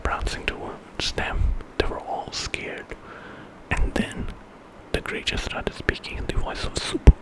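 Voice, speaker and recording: A man's voice: measured pace, poor audio. A man telling a story in whispered ASMR style, informally, as if making it up with casual asides.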